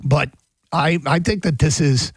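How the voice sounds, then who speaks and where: A man's voice: fairly high pitch, a little slow, slightly rough, dry recording. A man hosting a sports talk show, chatting in a thoughtful, rambling way. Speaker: sports radio host.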